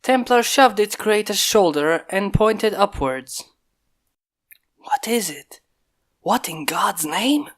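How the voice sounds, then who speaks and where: A man voicing a methodical male human inventor who gives terse, hushed orders in a fanfic reading. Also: sits high, expressive, takes it slowly, long pauses, heavily acted.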